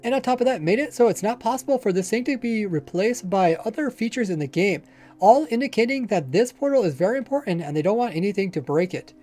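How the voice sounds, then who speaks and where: Give me a mellow, slightly mumbling male streamer with a faint accent, chatting loosely over quiet background music. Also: sits high, animated, quick pace. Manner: rambling, admits mumbling and lazy pronunciation. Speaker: Minecraft streamer. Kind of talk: casual livestream chat while playing.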